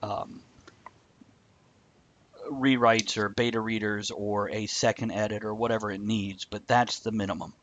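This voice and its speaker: A man's voice: lowish voice, level tone, measured pace, pauses often, a bit bright, highs cut off. A man hosting a live online talk show, chatting casually and rambling a bit with a self-deprecating humor. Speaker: podcast host. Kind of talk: casual chat.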